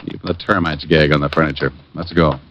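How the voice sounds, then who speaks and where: A man's voice: extremely low pitch, fairly even intonation, fast talker, heavy background noise. An actor playing a wisecracking male private detective in an old radio drama, trading dry sarcastic quips. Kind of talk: 1950s radio drama acting and narration. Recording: dull lo-fi old radio recording.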